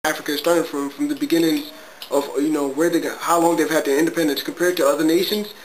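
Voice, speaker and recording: A man's voice: on the high side, steady tone, fast talker, a little hiss or hum, poor audio. A young man from Ghana, a student, talking earnestly and reflectively to a close webcam in a small bedroom. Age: young adult. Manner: earnest, reflective, repeats himself. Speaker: student interviewee. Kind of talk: casual talk to camera.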